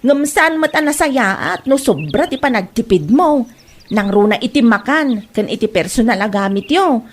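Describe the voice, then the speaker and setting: A woman's voice: a little high, lively intonation, moderate pace. A woman playing a frank friend who admonishes and advises, with an Ilocano accent, in a comedy audio drama. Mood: frank, advising. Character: frank, advice-giving female friend and neighbour.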